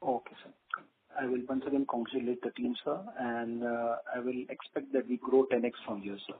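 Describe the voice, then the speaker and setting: An investor asks long, rambling questions, packing them with praise and fillers. His voice sits at a middle pitch. It comes over a narrow phone line with faint background hiss. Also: little pitch movement, fairly quick. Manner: wordy, full of praise, many restarts and fillers. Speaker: investor asking questions on an earnings call. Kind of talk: earnings call question. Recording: phone-line call audio with faint noise.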